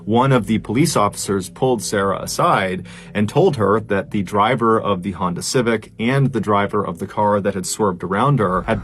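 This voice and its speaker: A man's voice: medium pitch, a little hiss or hum. A man telling a strange true story as a host, in a conversational, emphatic and suspenseful narrating style.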